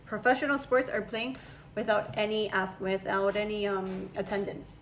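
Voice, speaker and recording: A woman's voice: mid-range voice, little pitch movement, normal speed, slight background noise, rough recording. A young woman, a mother, chatting casually and rambling as she explains her view. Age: young adult. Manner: chatty, rambling, laughs often. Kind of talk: casual chat.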